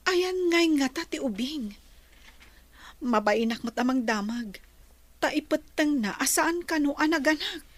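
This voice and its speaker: A woman's voice: high voice, expressive, a little slow, dry recording. A woman acting a worried, hesitant young nursing student in an Ilocano radio drama. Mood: troubled.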